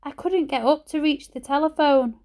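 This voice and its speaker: A woman voicing a frail, kindly old grandmother in hospital with a croaky, slightly slurred voice, for a children's storybook. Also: high-pitched, darkish tone, pitched above the performer's own voice. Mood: weak, matter-of-fact. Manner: slightly slurred, slow speech.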